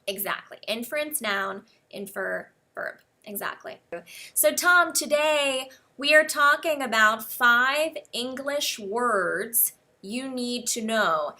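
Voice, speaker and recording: Bright, slow-speaking American woman teacher. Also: on the high side, expressive. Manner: slow, careful explanations, spells words out. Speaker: English teacher. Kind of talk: one-on-one English lesson.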